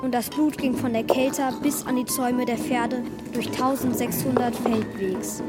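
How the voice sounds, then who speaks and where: High female voice of an actress, solemn scripture recital, dramatic, radio play, loud music. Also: steady tone, moderate pace, close to an everyday voice.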